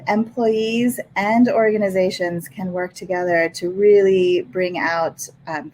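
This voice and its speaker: A woman hosting a show over an online call, introducing it in a halting, informal, conversational way. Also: on the low side, darkish tone, poor audio. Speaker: podcast host, culture designer. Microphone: remote online call. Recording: faint background noise.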